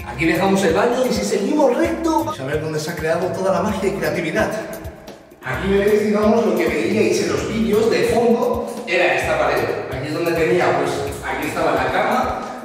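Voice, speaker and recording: A man in his twenties with a Spain Spanish accent talks casually to camera as he shows a house. His voice sits high, heard from a distance with heavy, long reverb off bare walls. Music plays clearly behind him. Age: 20s. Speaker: vlogger. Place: empty rooms of a house. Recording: echoey empty rooms, distant mic, music behind.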